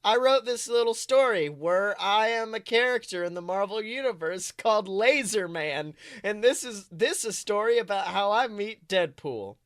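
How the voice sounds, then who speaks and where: A podcast co-host chatting casually and reading fan fiction aloud in an animated, wry way, often self-interrupting. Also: mid-range voice, moderate pace, few pauses, no room sound.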